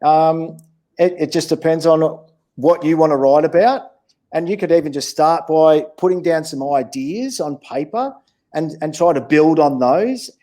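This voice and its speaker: A man's voice: a little high, unhurried, warm tone. A man with an Australian accent answering questions in a casual, encouraging, plain-spoken way as a children's author. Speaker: children's author, interview guest.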